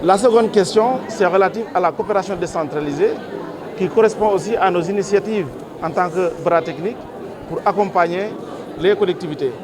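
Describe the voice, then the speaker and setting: A man's voice: high voice, fairly quick. A man, a technical agency official, explaining things formally and methodically to the press. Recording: busy crowd behind, public space.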